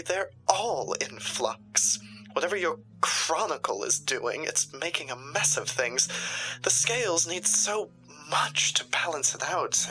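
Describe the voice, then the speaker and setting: A woman's voice: lowish voice, sharp tone, full character voice, poor audio. A woman playing a haughty, sardonic, ruthless occult shopkeeper in a theatrical, condescending drama style. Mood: arch, weary. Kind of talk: dramatic audio roleplay acting.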